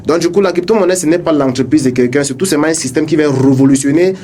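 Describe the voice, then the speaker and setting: A young man, an English speaker by birth, guesting on a radio interview and talking quickly with many halting restarts. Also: on the high side, dark tone. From Cameroon. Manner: fast, halting talk with many restarts and repeated words. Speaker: radio guest, crypto entrepreneur. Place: radio studio.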